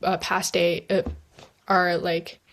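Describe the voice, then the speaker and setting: A young woman from China, a native Chinese speaker, giving a heartfelt address with many hesitant pauses. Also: on the low side, flat delivery, a bit bright. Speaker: student speaker.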